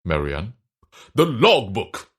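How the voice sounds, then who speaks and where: An actor playing a pompous, self-important eccentric scientist in a comedic audio drama, declaiming grandly in a husky voice. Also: male voice, on the low side, wildly swooping pitch, takes it slowly, fairly bright tone, heavily acted. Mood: officious.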